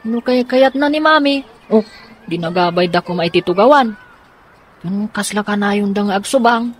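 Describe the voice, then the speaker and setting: A woman's voice: mid-range voice, normal speed, slight background noise, natural voice. A woman playing a determined, scheming young daughter in a radio drama, speaking earnestly and insistently. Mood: troubled.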